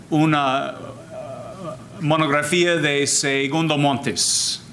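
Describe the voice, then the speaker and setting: Older male priest, English native speaker, very slow deliberate lecture, bright voice, faint hiss. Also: on the high side. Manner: deliberate, repeats and restarts words, switches to English phrases.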